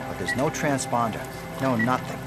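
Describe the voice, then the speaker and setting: A busy, matter-of-fact air traffic controller, acted by a man speaks in clipped procedural phrases. His voice is mid-pitched, with loud music underneath. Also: animated, normal speed, lightly acted. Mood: businesslike. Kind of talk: clipped radio procedure talk.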